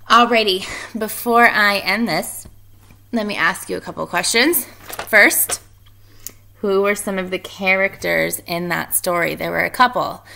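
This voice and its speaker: A woman's voice: fairly low pitch, gravelly, pushed and bright. A woman reading aloud to children and explaining informally, like a teacher.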